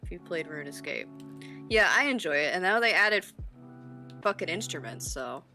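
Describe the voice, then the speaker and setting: Deep-voiced young woman streamer. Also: animated, moderate pace, sharp tone, soft background music. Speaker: streamer guest. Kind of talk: casual live-stream chat.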